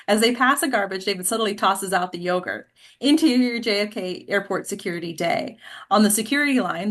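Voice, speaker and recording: Woman reading screenplay directions, mid-pitched. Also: fairly bright tone. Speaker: host and stage directions reader.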